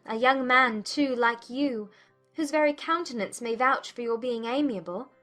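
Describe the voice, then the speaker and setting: An actress playing a spirited, indignant young gentlewoman in a dramatized audiobook, with emphatic, formal period speech. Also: a little high, a little slow, faint music behind. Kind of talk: dramatized audiobook character acting.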